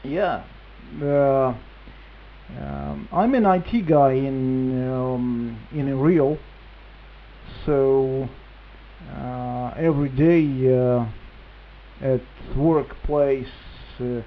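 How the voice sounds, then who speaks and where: A man's voice: medium pitch, expressive, extremely slow. A man with a Russian accent speaking hesitant non-native English in a casual ham radio exchange over a radio link. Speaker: amateur radio operator, reflector administrator. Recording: tinny band-limited radio link with hiss.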